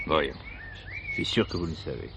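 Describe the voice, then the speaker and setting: Over a noisy old recording with birdsong, a persistent male police commissioner. His questions are courteous yet insistent, with lively swings in intonation, in the style of 1970s radio drama. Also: rumbling low, moderate pace, gravelly, full character voice. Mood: probing.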